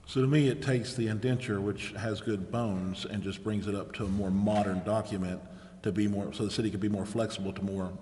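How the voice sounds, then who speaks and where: A man's voice: lowish voice, fairly even intonation, fairly quick, dark tone. A man talking informally in a discussion at a public council meeting. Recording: reverberant hall, faint background noise.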